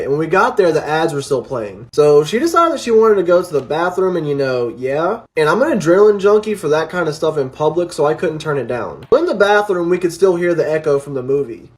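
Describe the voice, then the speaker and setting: Fast-talking, high-voiced young-media male storyteller. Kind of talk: casual first-person storytelling.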